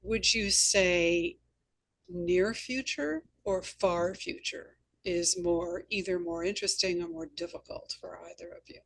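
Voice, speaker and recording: A woman host and novelist talking conversationally about writing, with measured, articulate phrasing. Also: lowish voice, steady tone, gravelly, a little hiss or hum, rough recording. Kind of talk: conversational literary discussion.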